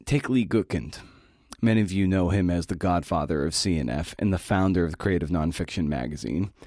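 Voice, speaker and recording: A man's voice: deep voice, fairly quick, darkish tone, no echo, studio quality. A middle-aged man hosting a podcast, giving a scripted talk with casual asides in a folksy, encouraging manner.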